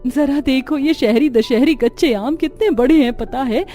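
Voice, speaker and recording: Woman playing a high-voiced outspoken young housewife. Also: normal speed, warm tone, faint music behind, natural voice. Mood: cheerful. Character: spirited young village daughters-in-law, chatty and outspoken. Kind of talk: Hindi cartoon story acting.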